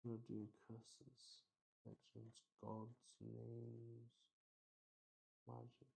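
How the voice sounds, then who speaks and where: A man's voice: mid-range voice, monotone, very slow, vocal fry, warm tone, husky, rough recording. A man improvising narration for a solo tabletop roleplaying game, thinking aloud hesitantly and often repeating his words. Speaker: solo roleplay host and player.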